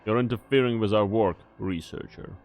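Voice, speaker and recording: Lowish, brusque male security officer, acted by a man. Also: normal speed, a little mellow, faint music behind, natural voice. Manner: clipped, impersonal. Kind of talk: English fan dub of a game story.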